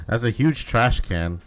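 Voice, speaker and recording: A man chatting casually as he streams a game playthrough. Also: mid-range voice. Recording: music behind the voice.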